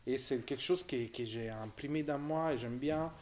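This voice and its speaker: A man in his forties speaks French with an Italian accent, in an ordinary middle pitch. He talks at a casual, unhurried pace, stopping and restarting as he hunts for the right word, stringing his thoughts together with fillers. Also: a little mellow. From southern Italy. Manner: hesitant, searching for words, many restarts. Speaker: visitor discussing an exhibition. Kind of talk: casual conversation.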